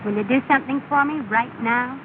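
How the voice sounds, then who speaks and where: A woman's voice: sits high, a little fast, natural voice. An actress playing a poised, kindly, lightly teasing young society woman in a 1930s film. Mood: light, evasive. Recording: tinny, noisy 1930 film soundtrack.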